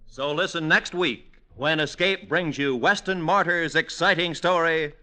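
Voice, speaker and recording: A man's voice: a little high, a little slow, very noisy. A man reading scripted announcements in a 1950s network radio announcer style. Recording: vintage radio broadcast. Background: music.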